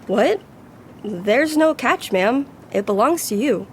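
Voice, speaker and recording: A polite young restaurant boy, high and bouncy in pitch. He is earnest, naive and wide-eyed, deferring to grown-ups in audio drama style. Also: expressive, slow pace, a little hiss or hum. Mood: polite, hesitant.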